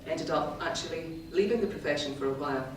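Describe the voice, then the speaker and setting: A very reverberant, noisy recording of a middle-aged woman giving a prepared speech. Her delivery is measured, wry and self-deprecating, picked up from some way off in the room. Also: low voice. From Scotland, born in the Highlands. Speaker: club member giving a first speech. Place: indoor meeting room, in front of an audience. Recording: very echoey room, distant mic, clearly noisy.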